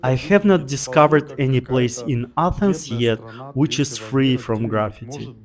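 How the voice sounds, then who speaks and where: A man's voice: mid-range voice, a little slow. Middle-aged man, non-native English accent, earnest and opinionated vlog narrator, mid-range voice. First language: not English. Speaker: vlogger.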